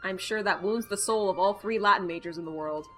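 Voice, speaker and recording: A woman reading a visual novel aloud with casual, playful, wry asides, in an expressive manner. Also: medium pitch, fairly quick. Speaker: gaming video host. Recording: background music behind the voice. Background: music.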